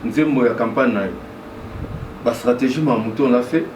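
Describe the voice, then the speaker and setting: Halting man, far and echoey. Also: medium pitch, darkish tone. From DR Congo. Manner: halting, mixes French and Lingala. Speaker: guest. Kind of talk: casual interview talk. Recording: echoey room, far mic, noisy.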